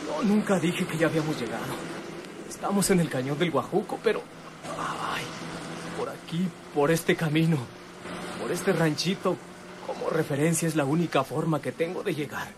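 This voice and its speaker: Man acting a frightened, halting young Mexican captive. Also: a little high, natural voice. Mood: pained, fearful. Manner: pleading, halting, groaning. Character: frightened, battered young male captive guide. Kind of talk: radionovela acting. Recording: noisy old radio drama recording.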